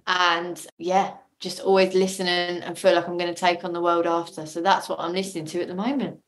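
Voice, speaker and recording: A woman chatting casually as a podcast guest, telling stories in an animated, jokey way. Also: lowish voice, fairly quick. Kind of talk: casual chat. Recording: roomy, echoey sound.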